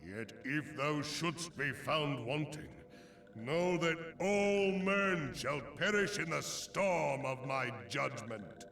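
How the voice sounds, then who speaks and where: Ancient primal judge, solemn archaic oratory, distant, music behind, fantasy game. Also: male voice, on the high side, lively intonation, creaky, full character voice. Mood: stern warning. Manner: archaic, grave, deliberate oratory. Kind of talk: theatrical fantasy game voice acting. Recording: echoing voice under loud music, distant.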